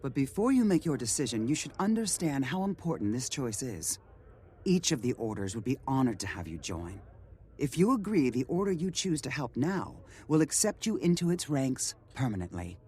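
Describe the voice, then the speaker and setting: An actor playing a noble veteran male hero in a fantasy video game, earnest and measured. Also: medium pitch, sing-song, warm tone, husky. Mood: solemn, earnest. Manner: measured, solemn.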